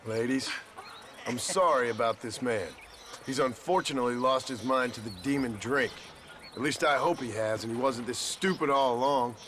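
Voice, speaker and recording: An actor playing a dry, sarcastic American gunslinger in a video game cutscene. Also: male voice, medium pitch, moderate pace, noisy background, natural voice. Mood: sardonic. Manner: dry, sarcastic, plain-spoken threats. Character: gruff, sardonic American gunslinger, a menacing dry-witted man.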